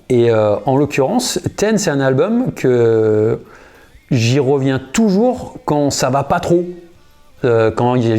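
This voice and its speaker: A guitarist in his thirties tells anecdotes in a loose, slangy way, pausing and restarting often. His voice is mellow and dark, at an ordinary middle pitch. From United States. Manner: relaxed, slangy, many fillers and restarts. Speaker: guitarist and singer. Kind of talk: casual interview storytelling.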